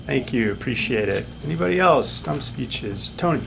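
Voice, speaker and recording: A man's voice: mid-range voice, expressive, normal speed, vocal fry, crowd noise. A man hosting a community breakfast meeting, calling up speakers and reading announcements in an informal, upbeat way. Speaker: meeting host.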